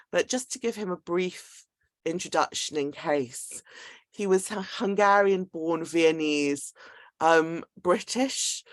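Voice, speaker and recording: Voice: medium pitch, no echo. A host giving a semi-scripted introduction of a speaker to an audience.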